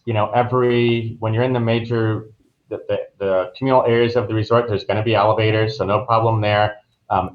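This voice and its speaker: Casting: American male travel pro, lowish voice, casual explainer in interview, muffled lo-fi call audio. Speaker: travel agent guest. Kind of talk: conversational podcast interview. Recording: dull, lo-fi online call audio.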